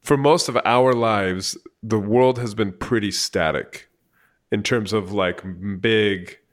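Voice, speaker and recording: A low-pitched man in his forties hosts a conversation. His questions wander casually, full of fillers and restarts as he talks the ideas through. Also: slow pace. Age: 40s. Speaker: podcast host. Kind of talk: conversational interview.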